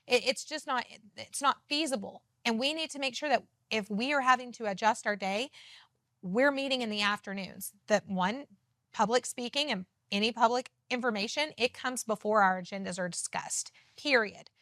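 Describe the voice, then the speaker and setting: A woman with a loud voice, speaking as a city council candidate in an earnest, emphatic TV interview. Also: fairly high pitch, normal speed, no echo, studio quality. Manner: emphatic, earnest campaign talk. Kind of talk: TV studio interview.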